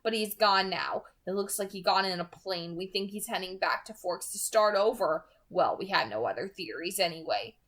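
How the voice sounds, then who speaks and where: A woman's voice: mid-range voice, normal speed, few pauses, close to an everyday voice. A woman reading a protective, devoted young man. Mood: apologetic. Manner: soft, reassuring, earnest. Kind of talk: audiobook-style character reading.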